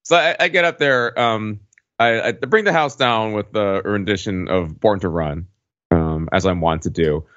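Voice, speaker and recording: A man with a very low voice tells stories in relaxed podcast conversation, wandering and pausing as he goes. The sound is slightly dull and lo-fi, with noticeable room echo. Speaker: podcast regular. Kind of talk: casual chat, anecdote telling.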